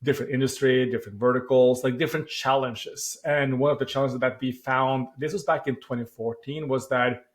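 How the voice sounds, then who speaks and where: A man from Norway with a clear Norwegian accent talks through his work as a founder. He sits at an ordinary middle pitch and thinks out loud, pausing on "uh" and circling back to rephrase. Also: fairly even intonation. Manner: thoughtful, with frequent "uh" pauses and restarts. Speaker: startup founder. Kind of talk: podcast interview answers.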